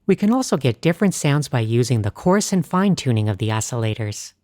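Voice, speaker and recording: A woman hosting a tutorial, narrating scripted explanations in a clear, explanatory tone. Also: very deep voice, sing-song, a little fast, a little mellow, no echo, clean studio sound. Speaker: host.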